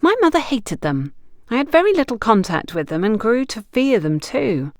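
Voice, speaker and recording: A woman's voice: fairly high pitch, sing-song, moderate pace, no room sound, clean studio sound. A woman narrator voicing a frank, teasing female confidante who gives knowing advice in an audiobook. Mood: reflective, advising. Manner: playful, knowing, instructive. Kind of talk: audiobook character dialogue.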